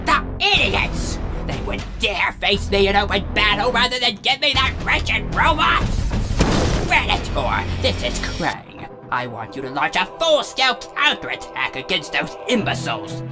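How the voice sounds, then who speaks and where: A woman's voice: mid-range voice, very animated, a little slow. Woman acting a furious tyrannical alien warlord. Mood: furious, commanding. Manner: imperious, barking orders. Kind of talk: dramatic fan audio drama acting. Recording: loud music behind the voice.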